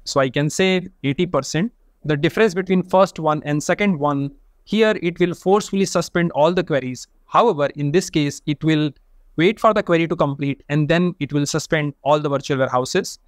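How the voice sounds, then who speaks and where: A man with a somewhat high-pitched voice teaches a data tool in a methodical, step-by-step way. His voice-over is recorded dry and close to the mic, with no room sound. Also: moderate pace, no room sound. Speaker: data engineering instructor. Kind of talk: screen-share software tutorial.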